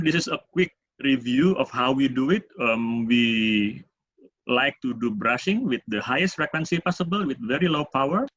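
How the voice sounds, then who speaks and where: A man with an Indonesian accent giving a medical lecture as a urologist, speaking in halting, hesitant phrases. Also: mid-range voice, fairly bright tone. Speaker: urologist presenting at a medical webinar. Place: online webinar. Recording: dull, roomy webinar audio.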